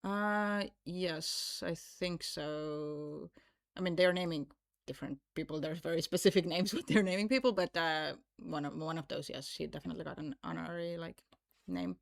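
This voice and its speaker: Creaky-voiced woman co-commentating on chess. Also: low voice, moderate pace, no room sound, clean studio sound. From Estonia. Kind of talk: casual chat commentary.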